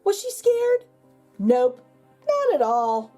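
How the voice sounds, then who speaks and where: A woman reading a children's picture book aloud as a storyteller, expressive, with dramatic emphasis and pauses. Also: sits high, soft background music. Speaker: read-aloud host and storyteller.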